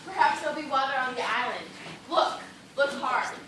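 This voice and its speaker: A woman's voice: high-pitched, lively intonation, moderate pace, pushed and bright. An actress playing a distraught, feverish female dancer castaway in an amateur stage play, with impassioned theatrical delivery. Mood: desperate insistence. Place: theatre stage, recorded from audience. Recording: very echoey stage, distant, noisy.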